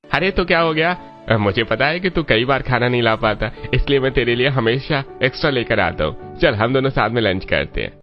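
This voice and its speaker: A man's voice: medium pitch, animated, brisk, hardly any pauses, lightly acted. A cheerful young office friend, voiced by a man, talks in an easygoing, friendly way. The sound is dull and lo-fi, with faint music underneath.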